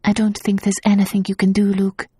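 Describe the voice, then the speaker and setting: A woman doing a frightened young wife in a romance audiobook, soft and pleading with a slightly husky grain. Her voice sits at a middle pitch and is recorded dry and close with no room sound. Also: monotone, fairly quick, no room sound, natural voice.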